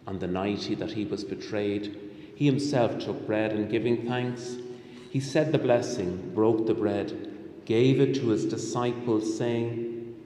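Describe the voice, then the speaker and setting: Low-voiced bishop in an echoing chapel. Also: male voice, dark tone. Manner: measured, formal, prayerful. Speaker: bishop celebrating Mass. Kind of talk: solemn liturgical recitation. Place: large hospital chapel, indoors. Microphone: microphone on altar stand. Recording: echoey chapel with long reverb.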